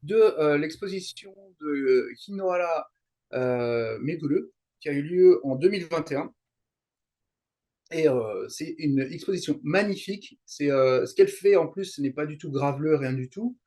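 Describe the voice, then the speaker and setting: A man speaking as a guest in a casual interview, explaining things haltingly and rephrasing as he goes. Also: on the high side, expressive, mellow. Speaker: interview guest, YouTuber and translator.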